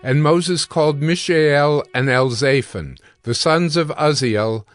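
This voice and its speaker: A man's voice: a little high, slow pace. Solemn male narrator over music. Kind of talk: dramatized scripture narration. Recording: background music under narration.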